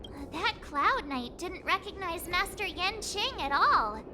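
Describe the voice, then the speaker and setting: A woman's voice: very high voice, sing-song, unhurried, noisy background, full character voice. A woman playing a small, childlike-sounding but earnest adult woman in a video game, precise and a little hesitant. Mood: puzzled.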